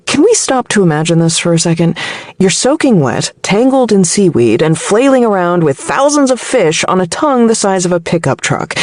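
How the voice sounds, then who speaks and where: A woman's voice: mid-range voice, a little mellow, no room sound, voice pushed up. A woman voicing an impish, teasing man who hosts a podcast in an audiobook novel. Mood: dry teasing. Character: impish bearded male podcast host, teasing best friend.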